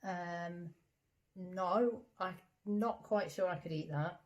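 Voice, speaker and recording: A woman's voice: fairly low pitch, slow pace, highs cut off. A woman playing a dinner guest in a comedy sketch, answering in a patient, matter-of-fact way. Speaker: puppeteer acting a dinner guest.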